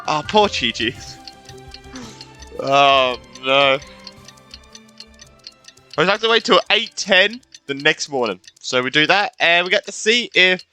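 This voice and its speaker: A gamer commenting casually on a playthrough and reacting aloud to the dialogue. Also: medium pitch. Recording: game music behind the voice. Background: game music.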